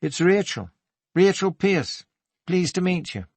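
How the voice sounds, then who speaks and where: A man voicing a friendly, teasing Geordie woman in her twenties, with a lilting, slightly husky voice, in an audiobook. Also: sits high, very animated, measured pace, no room sound, pitched above the performer's own voice, exaggerated voice. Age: 20s. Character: young shop woman, teasing, friendly, chatty.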